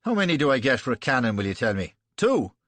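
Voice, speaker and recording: A man voicing a gruff, blunt old Irish general with a thick brogue, reading a story aloud. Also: medium pitch, big pitch swings, fast, a bit bright, no room sound. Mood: wry.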